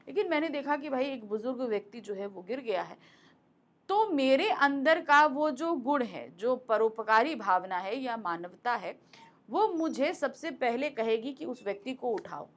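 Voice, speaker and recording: A woman's voice: on the high side, a bit bright. A woman teacher explaining a school lesson in a conversational way, repeating points and asking rhetorical questions, speaking close to a microphone. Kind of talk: explaining a Hindi lesson to students. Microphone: close mic.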